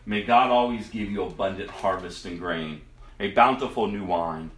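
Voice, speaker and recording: A man voicing an old father who gives solemn, measured blessings. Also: sits low, a bit bright, roomy sound, recorded from a distance, pitched below the performer's own voice.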